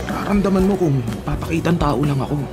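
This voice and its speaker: An actor with a Filipino accent playing an earnest, smooth-talking young suitor in a radio drama. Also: male voice, on the high side, quick pace, over music, lightly acted. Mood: earnest, wooing.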